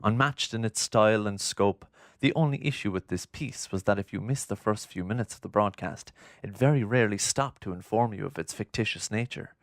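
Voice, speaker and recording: A man's voice: lowish voice, fairly quick, few pauses, no echo, studio quality. A man narrating a scripted documentary.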